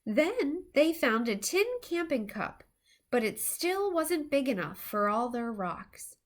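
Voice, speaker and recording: High, slow, animated woman storyteller. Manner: deliberate, animated delivery for young children. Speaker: storyteller reading a children's book aloud. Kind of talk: expressive children's storytime narration.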